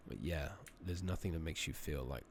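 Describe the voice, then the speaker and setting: A man doing soft-spoken, partly whispered ASMR game commentary, rambling along in a relaxed way as he thinks aloud. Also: extremely low pitch, normal speed, slight background noise. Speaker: game streamer, ASMR host. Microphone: makeshift new close microphone.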